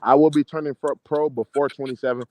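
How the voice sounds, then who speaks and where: A man's voice: medium pitch, fairly even intonation, fast talker, a little mellow. A man in his mid-twenties with an American accent, an amateur boxer chatting casually in an interview in slangy, confident, repetitive phrases. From United States, DC-Maryland-Virginia area. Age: mid-20s. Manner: slangy, confident, emphatic, repeats himself.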